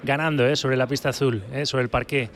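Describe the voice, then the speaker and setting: A man hosting a live radio sports show with brisk, upbeat presenter patter. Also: mid-range voice, fairly even intonation, fairly bright tone.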